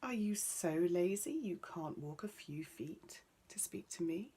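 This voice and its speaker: A woman's voice: fairly low pitch, lively intonation, measured pace, mellow, slightly rough. A woman voicing a cheerful young shepherdess in a bedtime story, plucky, teasing and unimpressed. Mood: challenging. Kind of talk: bedtime storybook character reading.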